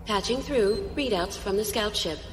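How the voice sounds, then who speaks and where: A woman's voice: medium pitch, moderate pace, lightly acted. A woman playing a dutiful, unemotional ship's computer aide in a science fiction audio drama, formal and clipped. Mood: impassive. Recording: long reverb, faint music.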